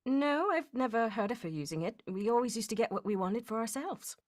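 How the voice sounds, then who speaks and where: A woman acting a well-mannered, anxious young lady in an old-time radio play. Her voice sits a bit high and moves in lively, melodramatic swings, close and dry. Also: brisk, no echo, lightly acted. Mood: earnest, nervous. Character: Miss Stoner, proper, anxious young lady client. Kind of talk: 1940s radio mystery acting, performed live.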